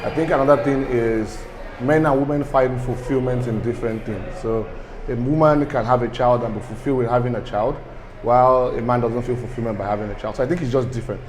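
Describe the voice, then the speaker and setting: A man giving a considered opinion in a measured, articulate way. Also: mid-range voice, a little mellow, poor audio. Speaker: interview respondent.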